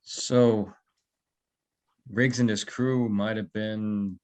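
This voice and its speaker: A man playing a calm, probing security guard turned investigator in a naturalistic script read, low-key and terse. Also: very slow.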